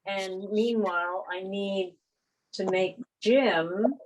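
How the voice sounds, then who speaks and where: A woman co-hosting an online club meeting, speaking informally and haltingly as she handles introductions on a video call. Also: medium pitch, steady tone, a little hiss or hum, rough recording.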